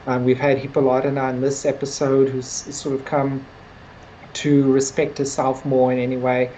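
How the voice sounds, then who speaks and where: A low-voiced woman talks through her opinions on a podcast in a loose, conversational way. She hedges often and peppers her points with little filler words. There is some noise on the recording. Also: flat delivery, normal speed, mellow, rough recording. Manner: hedging, conversational, frequent "like" and "sort of". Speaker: podcast co-host discussing a TV series. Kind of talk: casual review discussion.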